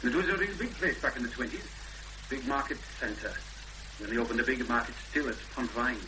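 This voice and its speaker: An actor playing a cautious, courteous rural policeman with a Welsh accent and a halting official delivery in a 1970s radio drama. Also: mid-range voice, close to an everyday voice. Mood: polite, wry. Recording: old radio recording, loud hum and noise.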